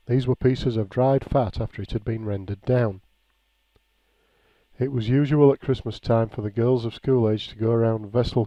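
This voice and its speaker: A man's voice: mid-range voice, mellow. Man reading memoirs, dull echoey sound. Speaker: reader of a written local-history account. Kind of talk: reading aloud a nostalgic written memoir.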